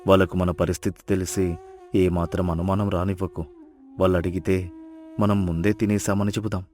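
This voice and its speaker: A man voicing a poor, kind and self-sacrificing village husband in a moral story, earnest and gentle. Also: deep voice, level tone, moderate pace, lightly acted. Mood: earnest, reassuring. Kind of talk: storybook dialogue acting.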